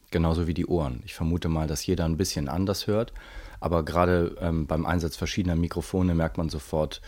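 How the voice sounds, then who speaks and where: A man's voice: extremely low pitch, darkish tone, breathy edge. A man giving a reflective interview statement as an artist, thoughtfully searching for his words. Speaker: musician and radio drama maker.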